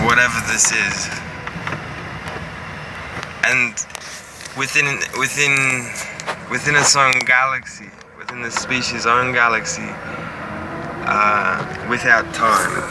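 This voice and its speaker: A man tells a rambling, improvised tale as a vlogger. He speaks very slowly and haltingly, circling back over his phrases to restart them. His voice sits at a middling pitch, picked up at some distance from the mic under heavy ambient rumble. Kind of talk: improvised, rambling spoken storytelling.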